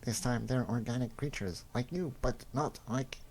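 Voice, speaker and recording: A man's voice: medium pitch, moderate pace, darkish tone, natural voice. A man voicing an earnest robot scout, solemn and urgent, in a comic-book drama. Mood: grave warning. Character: grave, earnest robot scout warning of invasion. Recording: clearly noisy recording.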